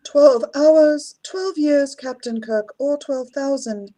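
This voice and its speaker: An actress playing a dignified, resolute pacifist leader of an alien council in an audio drama, solemn and formal. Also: female voice, sits high, slow pace, mellow. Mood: calm defiance.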